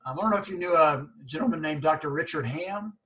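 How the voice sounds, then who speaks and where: A man's voice: a little high, quick pace, crowd noise, rough recording. A man in his forties hosting a podcast, talking candidly in a casual interview with frequent stuttering blocks and repeated syllables. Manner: candid and earnest. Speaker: podcast host.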